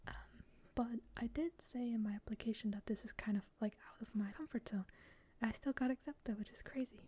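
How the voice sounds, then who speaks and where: A woman whispers her way through a game session, breathy and hushed from start to finish. Her tone is dark and mellow despite a slightly high pitch, and she sounds shy and a bit rambling. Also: fairly quick. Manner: soft, shy and rambling, with frequent laughs. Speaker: gamer.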